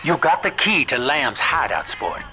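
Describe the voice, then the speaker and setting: A man's voice: fairly high pitch, sing-song, moderate pace, sharp tone, a little hiss or hum, exaggerated voice, rough recording. An actor playing a slick, world-weary older businessman in a video game, with a folksy, familiar drawl. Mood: wry, urgent.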